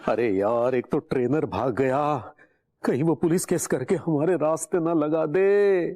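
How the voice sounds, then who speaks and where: An actor playing a nervous, defensive middle-aged neighbour who stammers when cornered in a sitcom. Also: male voice, a little high, measured pace, crowd noise, noisy background, lightly acted. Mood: flustered.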